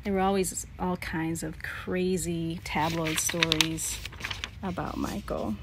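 A middle-aged woman thinking aloud in a halting, casual way as she comments on a scrapbook for a vlog. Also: fairly low pitch, flat delivery, heavy background noise. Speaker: vlogger, memoir author.

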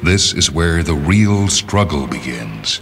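A man narrating literary fiction as a dramatic reading, in a measured, deliberate manner with pauses. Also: a bass voice, animated, fairly bright tone. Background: music.

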